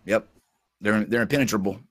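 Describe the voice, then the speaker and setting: A man hosting a casual talk show, losing his voice and talking haltingly with many fillers. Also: lowish voice. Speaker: show host.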